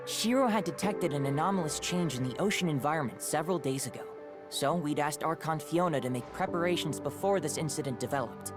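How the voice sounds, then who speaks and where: A woman voices a young male squad leader from a video game, polite and composed. The voice is low, with formal, confident delivery. Music plays clearly underneath. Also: a little fast, natural voice. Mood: polite, assured. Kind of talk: scripted video game dialogue.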